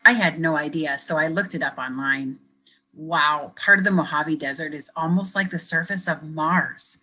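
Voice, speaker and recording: A woman educator reading a picture book aloud to children, pausing to ask them questions in a gentle, expressive way. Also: deep voice. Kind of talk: children's read-aloud with teacher commentary.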